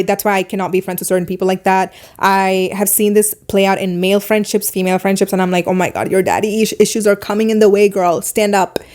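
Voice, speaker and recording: Fast-talking opinionated woman podcaster. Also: medium pitch. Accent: foreign accent. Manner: animated, opinionated, talks fast in bursts. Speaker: podcast host. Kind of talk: casual opinion podcast monologue.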